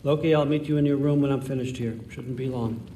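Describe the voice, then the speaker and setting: A man's voice: mid-range voice, fairly even intonation, a little fast, warm tone, slight background noise, lightly acted. An older man acting as a folksy, paternal, manipulative god-king in a video game cutscene. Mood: breezy. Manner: casual, folksy, coaxing, commanding when crossed.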